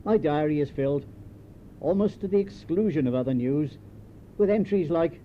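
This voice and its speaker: An older British man reads his written memoir in a slightly high voice. His storytelling is measured and dryly understated. It sounds like an old home tape, dull in tone with a noticeable rumbling noise behind him. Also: expressive. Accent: British English. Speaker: memoir author reading his own writing. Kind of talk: scripted memoir narration.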